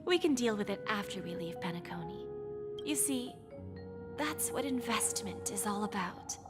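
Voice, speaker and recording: A woman voicing a breathy, scheming pawnbroker. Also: medium pitch, expressive, lightly acted. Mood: sly. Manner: smooth, unhurried, teasing. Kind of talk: video game voice acting. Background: game music.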